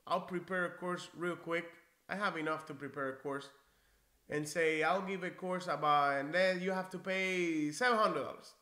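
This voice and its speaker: Man, 40s, from Cuba, encouraging instructor on a live stream, bright upper-middle voice, echoey small office. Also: fairly high pitch, steady tone, moderate pace. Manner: friendly, encouraging, conversational with restarts. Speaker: NCLEX prep instructor. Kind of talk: live-stream Q&A, reading viewer comments. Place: small indoor office, seated in leather chair.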